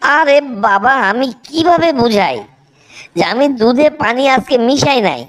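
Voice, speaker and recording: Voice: sits high, sing-song, a little slow, fairly bright tone, exaggerated voice. A voice actor playing a stubborn, cheeky milkman who insists he is innocent in a comedy cartoon. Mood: defensive. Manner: pleading, insistent.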